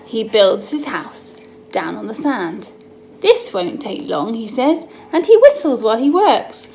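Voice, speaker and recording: High-voiced woman slowly telling children a story. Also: creaky. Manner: expressive, drawn-out storytelling aimed at small children. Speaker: children's Bible story host. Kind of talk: reading a picture-book story aloud to young children. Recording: noticeable background noise, homemade recording.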